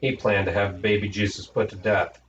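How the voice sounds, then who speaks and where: A man's voice: on the low side, little pitch movement, normal speed. A man reading a storybook aloud in the role of narrator. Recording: echoey room, distant mic, noisy, dull.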